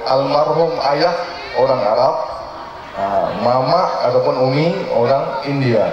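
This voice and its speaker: A man's voice: medium pitch, measured pace. A young Malaysian man preaching live in a playful, teasing way, often putting questions to his listeners. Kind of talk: live sermon with jokes and audience call-and-response. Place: live religious gathering at night, over loudspeakers with a crowd. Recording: echoey mosque PA, muffled, crowd noise.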